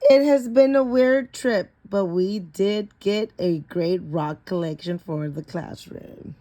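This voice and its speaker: Slow-paced woman reading a picture book aloud. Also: medium pitch, mellow. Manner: stumbles over harder words and corrects herself. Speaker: story reader.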